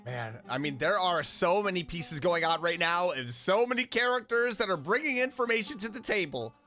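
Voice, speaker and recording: A streamer giving casual, excitable and expressive reactions while playing a game. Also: mid-range voice, normal speed, soft background music. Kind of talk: casual gameplay reaction commentary.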